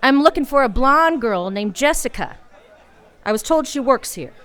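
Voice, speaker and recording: A woman's voice: sits high, lively intonation, normal speed, a bit bright, a faint crowd. A woman playing a tough, wry female private detective in a noir radio play, with a hard-boiled, clipped, wisecracking delivery. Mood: impatient.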